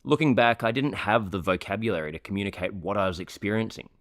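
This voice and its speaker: A man hosting a podcast, reading an earnest, measured introduction and then chatting with his guest. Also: on the low side, expressive, fairly bright tone, no echo, studio quality. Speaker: podcast host. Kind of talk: scripted podcast intro, then casual interview.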